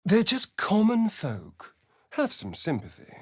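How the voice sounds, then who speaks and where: A haughty, theatrical male vampire rogue from a video game. His sardonic lines are drawn out at an unhurried pace and swing widely in pitch. The sound is very dry, with an arch, sly edge to every phrase. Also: huge pitch swings, a little mellow, slightly rough, no room sound, heavily acted. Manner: arch, drawling, sardonic, dramatic. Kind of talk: video game character voice acting.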